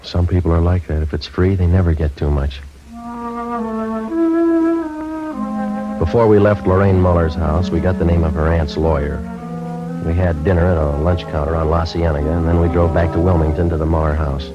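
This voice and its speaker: An actor playing a dry, businesslike American police detective, delivering terse, matter-of-fact lines in a 1950s radio crime drama. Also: male voice, low voice, fairly even intonation, a little fast, lightly acted. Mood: dry, probing. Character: dry, businesslike male police detective narrating his case. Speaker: detective and narrator. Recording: dull 1950s radio recording with music.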